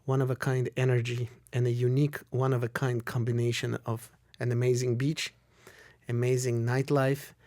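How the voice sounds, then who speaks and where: A man's voice: mid-range voice, level tone, moderate pace, darkish tone, no echo, clean studio sound. Emphatic middle-aged male guest, native Russian speaker. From Russia and Israel. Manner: opinionated, emphatic repetition of phrases. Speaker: interview guest, lawyer. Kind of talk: conversational interview answers.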